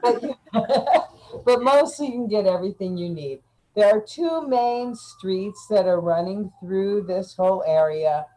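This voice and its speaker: A woman guest chatting casually, halting often with fillers. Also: mid-range voice, warm tone, a little hiss or hum, low-quality recording. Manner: slow, halting, frequent fillers. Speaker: interview guest. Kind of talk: casual online chat.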